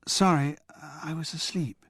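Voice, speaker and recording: A woman's voice: low-pitched, moderate pace, no echo, clean studio sound, pitched above the performer's own voice. A man voicing a sleepy young actress woken by a phone call, speaking in a woolly, hesitant way. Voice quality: woolly, drowsy.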